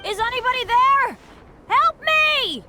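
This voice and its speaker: A woman's voice: very high-pitched, lively intonation, slow, full character voice. A woman playing an earnest, eager and apologetic young adventurer boy in a video game. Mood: urgent.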